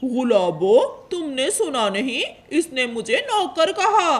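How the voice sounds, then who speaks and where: A woman's voice: high-pitched, very animated, takes it slowly, a little hiss or hum, heavily acted. A woman voicing a meek, easily hurt father crow in a Hindi cartoon story, with a plaintive delivery. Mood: hurt, indignant.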